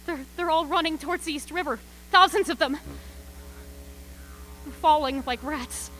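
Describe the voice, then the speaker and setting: A woman playing a frightened radio reporter describing an alien invasion in a radio play, halting and repeating words. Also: high voice, fairly bright tone, a little hiss or hum. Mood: rising panic. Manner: breathless, halting, repeats words under rising panic. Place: school auxiliary gym stage.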